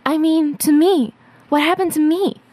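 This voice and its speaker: High-voiced, innocent little schoolgirl played by a woman. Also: fairly even intonation, normal speed, warm tone, no room sound, lightly acted. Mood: confused. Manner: naive, earnest. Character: innocent, prim little schoolgirl superhero sister.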